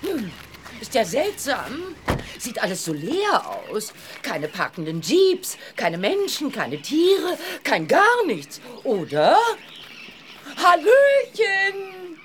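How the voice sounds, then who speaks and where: A woman's voice: sits high, wildly swooping pitch, slow, a little hiss or hum, heavily acted. A woman playing a gushing, adventurous female reporter, breezy and theatrical, in a children's audio drama. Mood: puzzled.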